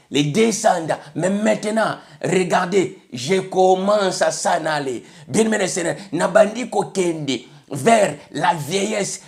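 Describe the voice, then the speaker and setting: A man's voice: high voice, gravelly. A man from Congo with a Congolese French accent, preaching an emphatic sermon in which he keeps repeating a set phrase. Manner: emphatic, repeats "bien aimé le Seigneur", switches between French and Lingala.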